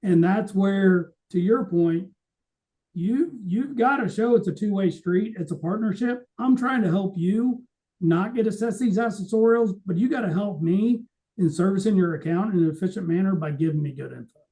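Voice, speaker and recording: High-voiced, mellow man explaining emphatically. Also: moderate pace, poor audio. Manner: explanatory, emphatic, acts out quoted remarks. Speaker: podcast co-host. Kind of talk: conversational industry podcast talk.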